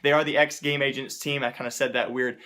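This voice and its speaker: A man's voice: mid-range voice, level tone, fast talker, bright, forceful tone. A man hosting casual esports news commentary, speaking informally and often repeating points for emphasis. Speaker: host of an esports news show.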